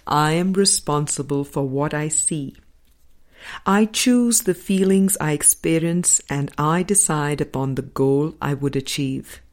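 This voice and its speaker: A woman reading a spiritual book aloud as an audiobook narrator, in a measured, deliberate way with pauses between phrases. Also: low-pitched. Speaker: audiobook reader.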